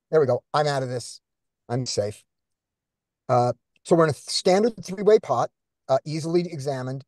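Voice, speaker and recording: A man's voice: medium pitch, dark tone, dry recording, clean studio sound. A man coaching a group through poker hands on a video call, thinking aloud and quizzing his students. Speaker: poker coach leading a study session.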